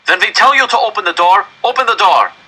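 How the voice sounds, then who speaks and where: A man's voice: high-pitched, lively intonation, fast, pushed and bright, slightly rough, pitched above the performer's own voice, full character voice, rough recording. Man doing a harsh, barking wartime military officer. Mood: harsh, demanding. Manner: barking, commanding, threatening. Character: menacing, demanding male Nazi German officer. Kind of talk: acted audiobook dialogue.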